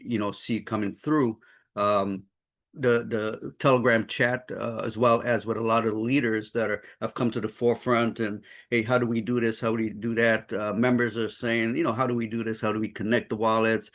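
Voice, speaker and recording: A man from the Caribbean hosting an informal online webinar, upbeat and effusive, in a wordy, halting way. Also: on the low side, fairly bright tone. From Trinidad and Saint Croix, Caribbean. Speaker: host and trainer of online group call.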